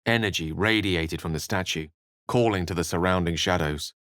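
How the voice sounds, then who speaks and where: An actor voicing an erudite, thoughtful, wry wizard adventurer in a video game, with a measured, theatrical way of speaking. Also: male voice, deep voice, a bit bright, clean tone, no echo, studio quality. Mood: musing, narrating. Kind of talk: video game voice acting, dialogue and narration.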